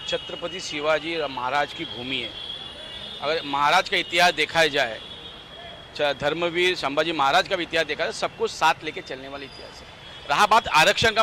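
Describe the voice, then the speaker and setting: A man's voice: high-pitched, pushed and bright, a little hiss or hum. A man giving his firm opinion in a street interview, outdoors on a city street. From India. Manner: firm, argumentative.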